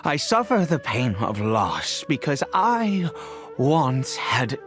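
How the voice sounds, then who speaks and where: A man voicing a weary, centuries-old cursed nobleman and father in a video game, speaking formally and haltingly, with grief. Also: mid-range voice, very animated, a bit bright. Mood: sorrowful, brooding. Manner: halting, hesitant, sighing, formal wording. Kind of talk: dramatic video game voice acting. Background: background music.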